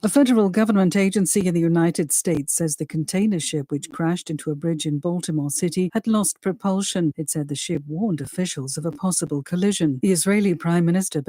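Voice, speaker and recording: A newsreader giving a relayed international news roundup in a crisp, formal delivery. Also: mid-range voice, brisk, few pauses, mellow, no room sound. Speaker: news reader.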